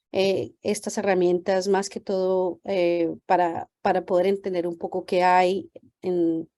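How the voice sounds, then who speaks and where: A woman's voice: fairly low pitch, warm tone. A woman physician welcoming parents on a video call, speaking hesitantly with many fillers. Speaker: pediatric geneticist giving a talk.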